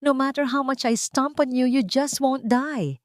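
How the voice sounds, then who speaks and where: A woman voicing a cruel woman doctor who talks slowly, calmly and menacingly. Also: high voice, dry recording, studio quality, voice pushed up. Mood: mocking. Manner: calm, cold, slow and menacing. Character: calm, cruel woman doctor, mocking villain.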